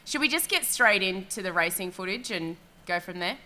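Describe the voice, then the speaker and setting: A woman's voice: mid-range voice, lively intonation, moderate pace, pushed and bright. A woman hosting a chat show about sailing, upbeat and chatty, with an Australian accent. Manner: upbeat, chatty, laughs easily. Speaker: host. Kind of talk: casual chat and race commentary.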